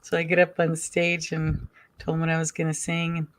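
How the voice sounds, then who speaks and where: A soft-spoken woman guest on a video call, telling stories about her life in a casual, hesitant way. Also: low voice, completely flat delivery, a little fast. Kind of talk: casual storytelling chat.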